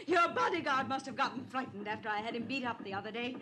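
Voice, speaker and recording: A woman's voice: fairly high pitch, expressive, fast talker, a crowd in the background, lightly acted. An actress playing a hysterical, vengeful woman in a melodramatic, accusing manner in a 1950s radio drama. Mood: scornful. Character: hysterical, vengeful woman holding a man at gunpoint. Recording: noisy old-time radio recording.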